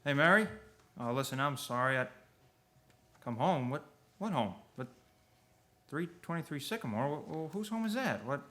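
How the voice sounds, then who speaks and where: An earnest young small-town manager acted by a man with a high, bright voice. He stammers and doubles back on his words in flustered radio play style. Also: lively intonation, moderate pace, long pauses. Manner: stammering, repeating words, pleading.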